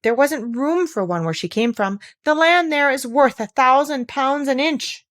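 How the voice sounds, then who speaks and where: Pompous storybook train passenger, chanting and emphatic, pitched up, woman reader playing the part. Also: expressive, unhurried, fairly bright tone. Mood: chiding. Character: chanting chorus of pompous, fussy railway passengers.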